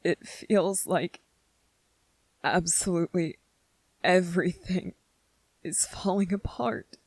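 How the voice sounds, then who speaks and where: A woman voicing an anxious, weary young male anime soldier in a halting ASMR roleplay monologue, pausing and repeating words. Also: fairly low pitch, a little mellow, husky, dry recording, exaggerated voice. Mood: weary, overwhelmed.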